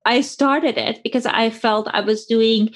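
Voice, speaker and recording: A woman's voice: a little high, fairly even intonation, a little slow. Iranian-accented woman explaining therapy. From Iran. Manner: earnest, explanatory, with frequent fillers like "kind of". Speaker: sex therapist guest. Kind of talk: conversational interview.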